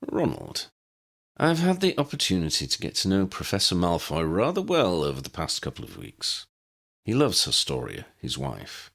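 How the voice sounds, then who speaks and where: Man acting a formal, measured gentleman wizard. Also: low-pitched, huge pitch swings, heavily acted. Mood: frank, reassuring.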